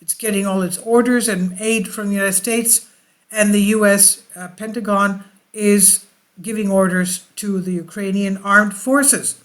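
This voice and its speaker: An online news commentator reading articles aloud with off-the-cuff remarks, in a halting delivery that stumbles over the text. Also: mid-range voice, steady tone.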